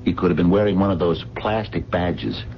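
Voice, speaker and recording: Radio mystery: dogged, slangy street sleuth, deep and animated, muffled old broadcast. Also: male voice, fairly quick, a little hiss or hum. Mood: insistent, reasoning. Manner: slangy, emphatic, reasoning step by step. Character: streetwise working-class man, dogged amateur sleuth. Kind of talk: radio mystery drama acting.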